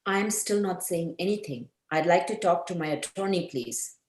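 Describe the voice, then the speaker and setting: A woman's voice: on the low side, expressive, normal speed, darkish tone, lightly acted. A woman playing a haughty, indignant, defiant wife under suspicion in an amateur script table read, recorded in an echoey room. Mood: cold defiance. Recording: echoey room on video call.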